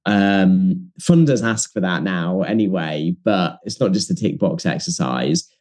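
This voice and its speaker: Deep mellow-voiced man, earnest. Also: expressive, a little slow. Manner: thoughtful, earnest, with filler ums and likes. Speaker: documentary producer, guest. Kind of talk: casual interview chat.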